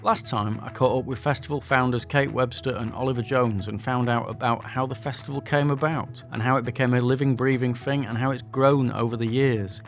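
A man with a Yorkshire accent, hosting a podcast and narrating in a friendly, measured way. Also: mid-range voice, steady tone, soft background music. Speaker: podcast host.

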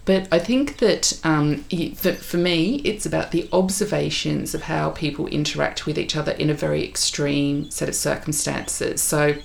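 A woman's voice: sits low, moderate pace, creaky, few pauses. A middle-aged woman co-hosting a podcast, chatting casually with a friend in a study at home. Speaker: podcast co-host. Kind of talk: casual chat between friends.

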